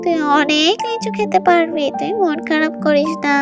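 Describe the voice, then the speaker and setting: Woman acting a very high-pitched plaintive old granny. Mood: consoling. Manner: plaintive, coaxing, drawn-out endearments. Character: hungry, weepy, kindly old village granny. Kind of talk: children's cartoon voice acting. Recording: loud music behind the voice. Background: children's music.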